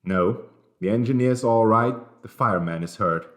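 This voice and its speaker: A man's voice: lowish voice, moderate pace, echoey room, lightly acted. A man voicing an impassive, accusing train conductor in an audiobook, terse and pointed. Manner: flat, terse, pointedly emphatic.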